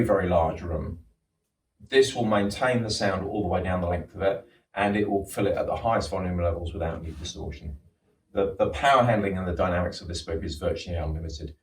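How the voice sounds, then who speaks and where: A man's voice: low voice, slight background noise. A middle-aged man, a home cinema dealer, explaining speakers with enthusiasm and frequent restarts in a showroom. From England. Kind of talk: showroom product walkthrough. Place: large showroom. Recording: roomy echo, distant mic.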